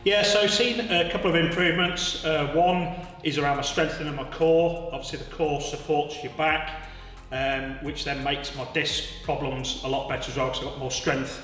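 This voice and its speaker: A man's voice: medium pitch, a bit bright. A man in his fifties giving a casual, explanatory testimonial with fillers. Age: 50s. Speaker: class member giving a testimonial. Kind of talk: interview testimonial. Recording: echoey hall, from far off.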